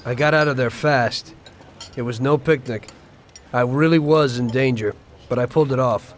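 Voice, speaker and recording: A man's voice: medium pitch, normal speed, a little hiss or hum, natural voice. An actor voicing a weary male mobster in a video game who plainly recounts his escape to a detective. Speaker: game protagonist.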